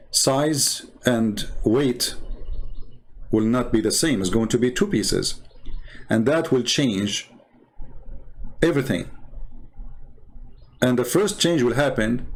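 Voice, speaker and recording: This is a man with a medium-pitched voice and a non-native English accent, as English is his second language. He delivers improvised commentary in the manner of a debating host. His phrasing is halting, and he repeats key words to press each point. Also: creaky. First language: not English. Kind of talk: improvised commentary over visuals.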